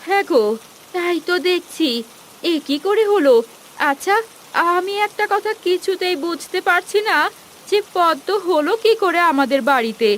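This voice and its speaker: A woman's voice: very high-pitched, animated, normal speed, a bit bright, a little hiss or hum. A woman voicing a practical village mother in a cartoon, homely, fussing and mildly scolding. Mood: wondering. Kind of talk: cartoon fairy-tale voice acting.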